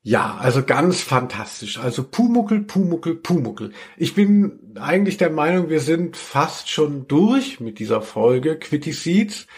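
A man's voice: on the high side, sing-song, a little slow, few pauses. A man hosting a podcast in casual, colloquial chat with a wry manner. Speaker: podcast host.

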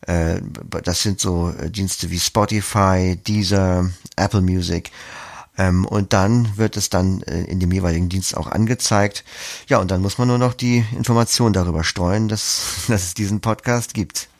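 A man's voice: low voice, steady tone, normal speed, no echo, studio quality. A man being interviewed as a podcast producer, explaining things conversationally, step by step with concrete examples.

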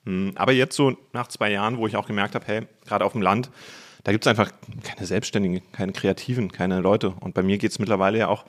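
Relaxed, talkative man from Berlin. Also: fairly low pitch, fairly quick. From Berlin, Germany. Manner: relaxed, talkative, laughs easily. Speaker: guest, cooking YouTuber. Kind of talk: casual podcast chat over food. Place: indoor studio, video podcast.

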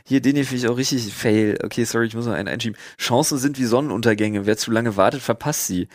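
A man's voice: mid-range voice, quick pace, no room sound. Slangy young man, dry close podcast sound. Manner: slangy, mixes in English words. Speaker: podcast host. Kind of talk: casual comedic banter.

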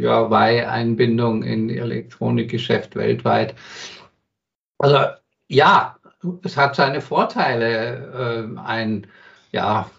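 A man's voice: medium pitch, gravelly. A man speaking as an industry consultant guest in an interview, answering thoughtfully with frequent hesitations and restarts. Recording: dull sound from some distance.

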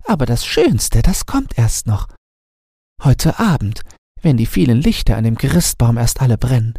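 A man voicing excited, gossipy little sparrows chattering in a bedtime fairy tale. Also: medium pitch, normal speed, vocal fry, breathy edge, no room sound, studio quality, voice pushed up, full character voice. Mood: gossipy, eager. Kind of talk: bedtime storybook character voice.

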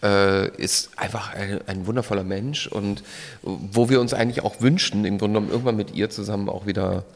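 A man's voice: on the low side, fairly even intonation, fairly quick, creaky. A man hosting a podcast live on stage, talking in a rambling way with many hesitations. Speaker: podcast host. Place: large live venue hall. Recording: long hall reverb.

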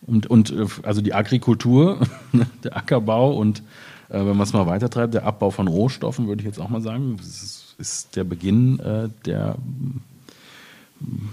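Male poet, low mellow voice, slightly raspy, reflective literary talk, halting phrasing. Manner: hesitant, reflective, searching for words. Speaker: poet in discussion. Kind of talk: spontaneous literary conversation.

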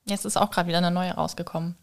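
A woman's voice: on the low side, little pitch movement, quick pace, creaky. A woman talking in a casual, informal conversation as a guest on a podcast. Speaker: podcast guest, communication designer.

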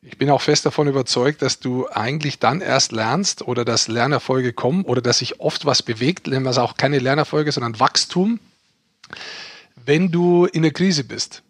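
A man's voice: mid-range voice, normal speed, fairly bright tone, no room sound, studio quality. A male podcast co-host musing philosophically about growth in hard times. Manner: reflective, philosophizing. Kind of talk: casual podcast chat.

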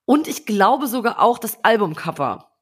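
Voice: mid-range voice, big pitch swings, moderate pace, fairly bright tone, slightly rough. Chatty, hedging young podcast co-host. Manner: rambling, hedging, tag questions. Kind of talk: casual chat.

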